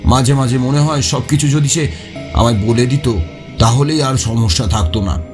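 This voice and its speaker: A man's voice: medium pitch, normal speed, natural voice. An actor playing a poor, anxious railway signalman who speaks deferentially and confidingly in an audio horror story. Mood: troubled, weary. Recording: music behind the voice.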